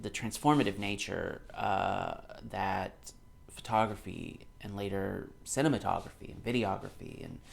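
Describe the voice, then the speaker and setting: Emphatic man in his thirties, creaky low voice. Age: 30s. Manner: argumentative, emphatic, repeating key phrases. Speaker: commentator reading a book aloud and responding. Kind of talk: casual reaction commentary, alternating reading aloud and off-the-cuff response.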